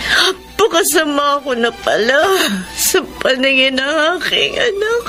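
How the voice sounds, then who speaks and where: A high-voiced anguished mother, heavy with guilt and speaking slowly. Her delivery is tearful, wavering and melodramatic, in the manner of a radio soap. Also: expressive, music in the background. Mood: anguished, self-reproaching. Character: anguished, guilt-ridden wealthy mother, given to drink. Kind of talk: Tagalog radio drama acting.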